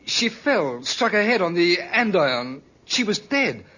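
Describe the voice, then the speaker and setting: An actor playing an evasive, nervous male crook in a 1950s radio drama, halting and defensive. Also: sits high, sing-song, bright, forceful tone, heavily acted. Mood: agitated confession. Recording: muffled 1950s radio recording.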